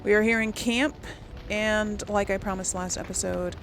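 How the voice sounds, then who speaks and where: A woman talks casually to her viewers as she plays, rambling and thinking out loud. Her voice has a noticeable creak, and there is faint noise in the background. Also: mid-range voice, steady tone, normal speed. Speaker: let's play host. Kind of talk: casual gameplay commentary, talking to viewers.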